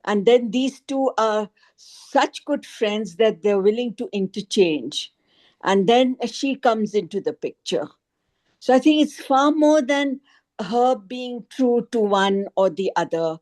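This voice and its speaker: A woman's voice: on the high side, expressive, takes it slowly, darkish tone. A woman in an informal group discussion on a video call, speaking hesitantly and searching for her words as a participant. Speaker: book club participant.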